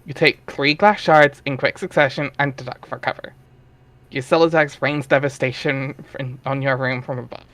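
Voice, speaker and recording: A man's voice: medium pitch, fairly even intonation, moderate pace, fairly bright tone. A man narrating an amateur dramatic reading of a webcomic, wry and theatrical, with casual asides.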